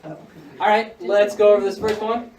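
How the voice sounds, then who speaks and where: A man's voice: sits high, a little slow, a little mellow. A male math teacher walking a class through problems step by step. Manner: plain, coaching students step by step. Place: high school classroom. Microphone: room mic from across the room. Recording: echoey classroom, mic far off.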